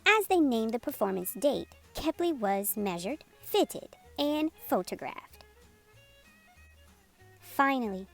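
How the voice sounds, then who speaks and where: A woman reading a children's picture book aloud as a storytime host, in an expressive, unhurried storytelling manner. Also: sits high. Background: music playing under the voice.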